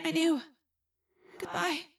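Lady doing a trapped teen girl in an audiobook, way high, soft and pleading, brave but grim. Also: normal speed, dry recording, distant mic. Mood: sad, resigned. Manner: hushed, urgent, pleading.

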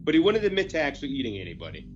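A man with a stuffy, nasal voice from a recent cold, chatting in a deadpan, matter-of-fact way. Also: medium pitch, very fast, muffled recording, soft background music. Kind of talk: casual chat.

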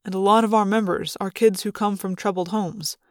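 A woman narrator takes on a middle-aged male school official for a storybook. He sounds earnest and measured, pitched low for the reader, with a patronizing, probing drone. The recording is dry and close. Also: steady tone, no room sound, close to an everyday voice. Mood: earnest, flattering.

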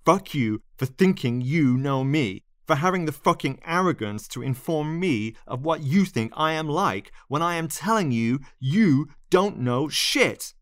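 A man's voice: deep voice, moderate pace, fairly bright tone, no room sound, clean studio sound. A man acting out a cold, exasperated man rejecting a lover, with firm, clipped delivery in a dramatized monologue. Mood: furious.

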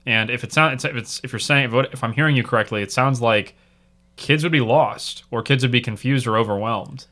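Bright-toned man hosting a podcast. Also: mid-range voice, brisk. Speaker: podcast host. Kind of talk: casual podcast chat.

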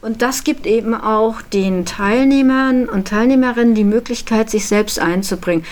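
A woman's voice: on the high side, normal speed, darkish tone. A woman who helps run a workshop, explaining how it works in interview style. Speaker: workshop organizer.

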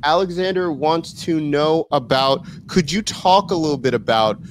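A man's voice: on the high side, moderate pace, slight background noise, low-quality recording. A man hosting a live interview, praising his guest effusively and talking to the chat over a video call. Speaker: livestream interview host.

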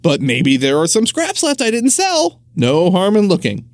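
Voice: medium pitch, huge pitch swings, normal speed, dry recording, clean studio sound, voice pushed up. A storyteller voicing a village butcher who stammers through a sly excuse. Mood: flustered.

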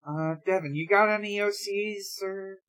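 Casting: female podcast host, informal and hesitant, reading out questions and chatting in short halting bursts. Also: medium pitch. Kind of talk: casual chat.

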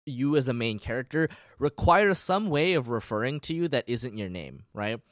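A man's voice: medium pitch, very animated, normal speed, no echo, clean studio sound. A man co-hosting a podcast in casual chat, explaining things in a rambling, hesitant way. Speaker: podcast co-host.

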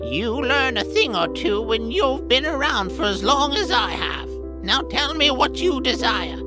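A woman voicing a sly, haughty old crone witch in an audio drama, with a drawn-out, theatrical delivery. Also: fairly high pitch, sing-song, over music. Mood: haughty, knowing. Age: older.

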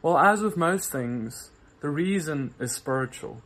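Male minister, earnest direct-to-camera talk, halting repeats. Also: on the high side, expressive, a bit bright. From South Africa. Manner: earnest, repeats words often when searching for them. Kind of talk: casual video talk to viewers.

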